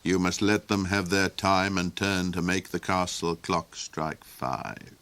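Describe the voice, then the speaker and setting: A man voicing a calm, gloomy servant who answers in a low, measured, matter-of-fact way.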